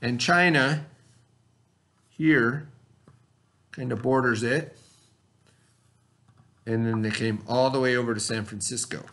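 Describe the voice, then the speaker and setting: A man teaching through a read-aloud, pausing to explain things and put questions to his listeners. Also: mid-range voice, a bit bright.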